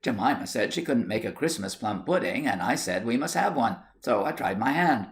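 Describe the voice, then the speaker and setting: A man's voice: medium pitch, lively intonation, moderate pace, lightly acted. A man voicing a placid, stolid husband in a storybook tale, dry and matter-of-fact. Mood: unruffled.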